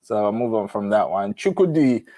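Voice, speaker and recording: A man's voice: on the low side, expressive, normal speed, warm tone, no echo, clean studio sound. A middle-aged man with a Nigerian accent, chatting frankly and casually as he hosts a live advice session and tells stories. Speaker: host of a live Q&A on moving to the UK.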